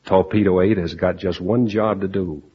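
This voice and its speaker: An actor playing a tough, commanding wartime navy squadron leader who gives clipped, slangy orders in 1940s radio style. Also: male voice, deep voice, steady tone, normal speed, slightly rough. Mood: resolute. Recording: dull, noisy old radio recording.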